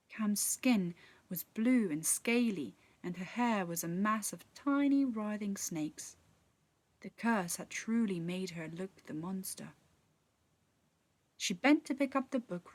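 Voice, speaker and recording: A woman narrating a children's story in an expressive, measured, dramatic storytelling style. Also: medium pitch, no echo. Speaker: actor reading a story.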